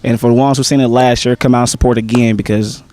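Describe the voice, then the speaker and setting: Relaxed, slangy young man. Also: mid-range voice, quick pace, no room sound. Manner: relaxed, slangy, hesitant with many "um"s. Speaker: stage actor. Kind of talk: casual interview chat.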